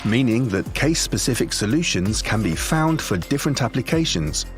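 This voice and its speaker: A man reading scripted corporate product narration in a measured, formal presentational manner. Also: mid-range voice, very animated, faint music behind. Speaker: promotional video narrator.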